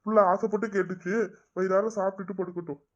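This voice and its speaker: A woman voicing a kind, indulgent father who speaks calmly and teases gently. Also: medium pitch, fast talker, natural voice. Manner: calm, gently teasing. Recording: dull lo-fi sound.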